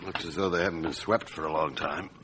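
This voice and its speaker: A chief police inspector in a radio drama, low in pitch. He is measured and probing, with terse questions and reflective asides, on a recording with clear background noise. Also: fairly even intonation, fairly bright tone. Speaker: actor in lead role, also narrating.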